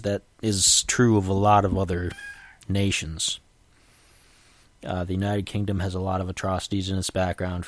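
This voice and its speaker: A man's voice: deep voice, no room sound. A man hosting a casual solo podcast, sniffly and coughing from a cold, rambling with frequent restarts. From United States. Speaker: podcast host.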